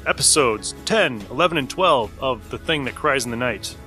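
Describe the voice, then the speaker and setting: A man's voice: mid-range voice, animated, normal speed, pushed and bright. A man delivering a scripted show introduction as a podcast host. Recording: voice over music.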